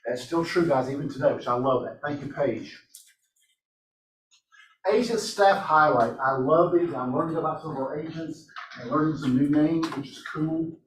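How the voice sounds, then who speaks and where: A man's voice: a little high, normal speed. Upbeat man leading a meeting. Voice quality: upbeat, encouraging, quizzes the room. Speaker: real estate office leader running a team meeting. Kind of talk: meeting talk to a room. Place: meeting room. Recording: echoey room, from a distance, faint noise.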